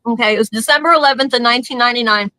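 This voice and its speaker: A woman's voice: on the high side, racing, sharp tone, no echo, low-quality recording. A woman guest reading out a short account in casual chat.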